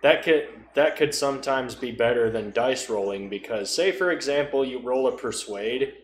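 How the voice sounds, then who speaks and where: A man's voice: medium pitch, animated, moderate pace. Man in a roomy, echoing space. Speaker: podcast co-speaker. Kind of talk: casual conversational chat. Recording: roomy echo, faint background noise.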